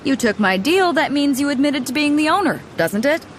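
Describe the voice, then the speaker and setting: A woman's voice: high-pitched, expressive, fairly quick, slight background noise. A woman voicing a confident female ship captain who talks in a breezy, commanding way. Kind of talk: game voice acting. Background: game music.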